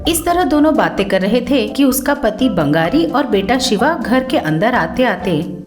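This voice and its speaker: Woman, high-pitched, scripted story narration, music behind. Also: sing-song, a little slow.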